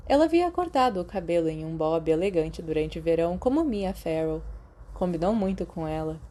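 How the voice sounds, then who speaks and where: A woman's voice: on the low side, animated, brisk, mellow. A woman with a Brazilian Portuguese accent reading a scripted story as an audiobook-style narrator. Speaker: podfic narrator. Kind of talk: scripted fiction narration with acted dialogue.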